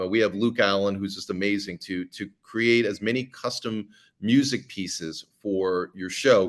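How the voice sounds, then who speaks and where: A man's fairly low voice, talking casually as a podcast guest. He gives practical advice in a chatty way, with many ums and restarts.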